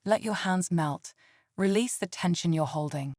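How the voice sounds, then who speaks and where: Calm low-voiced woman guiding meditation. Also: moderate pace, no echo, studio quality. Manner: calm, soothing, gentle delivery. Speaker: meditation guide. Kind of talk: scripted guided meditation.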